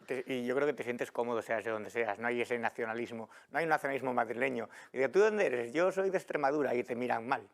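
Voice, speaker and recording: A man from Asturias rattles off indignant political commentary at a rapid clip. His voice has a gravelly, creaky edge, and he presses his points hard in a studio panel debate. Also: medium pitch. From Asturias, Spain. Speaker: panel guest.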